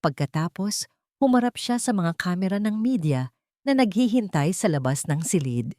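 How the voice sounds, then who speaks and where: A woman narrating a scripted dramatic story in a measured, sentimental way, in short phrases. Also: lowish voice, expressive, dark tone, no room sound, studio quality.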